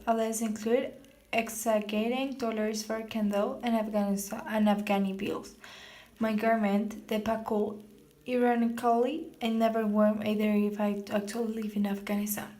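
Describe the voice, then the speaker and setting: A woman's voice: medium pitch, mellow, roomy sound. A woman reading a novel passage aloud for a class task, often stopping to restart and correct words. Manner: halting, self-correcting reading. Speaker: student reader.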